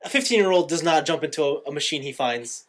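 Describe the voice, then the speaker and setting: Animated, opinionated man with wide pitch swings. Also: fast talker. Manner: animated, opinionated, exasperated griping, swears casually. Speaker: podcast host. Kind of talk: casual anime review chat.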